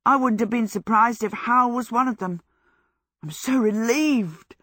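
A woman voicing a jubilant, excitable teenage apprentice boy in love, gushing, in a storybook. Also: mid-range voice, measured pace, no echo, studio quality. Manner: exuberant, gushing. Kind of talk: storybook character voice.

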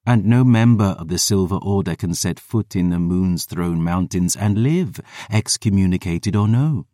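A man reading a cold, pious vampire woman, measured and grave. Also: low voice, warm tone, no echo, clean studio sound, natural voice. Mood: solemn. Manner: slow, measured, grave delivery. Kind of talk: audiobook character voice.

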